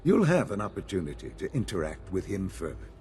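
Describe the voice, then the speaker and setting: Calm, persuasive elderly male institute leader. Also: deep voice, soft background music. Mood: measured, proud. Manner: measured, formal, softly persuasive. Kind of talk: video game voice acting.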